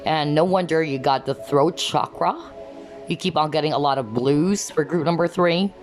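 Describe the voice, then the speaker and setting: A woman giving an earnest tarot card reading, often asking "okay?" as she talks, and her voice is going hoarse. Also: low-pitched, normal speed, darkish tone, faint music behind. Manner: earnest, repeats "okay?" to viewers. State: losing her voice. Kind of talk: pick-a-card reading to camera.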